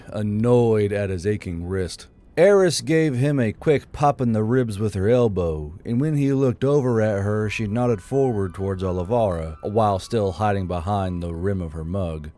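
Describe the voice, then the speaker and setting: A man's voice: fairly low pitch, animated, measured pace, mellow, soft background music. A man narrating a fantasy audiobook from a script.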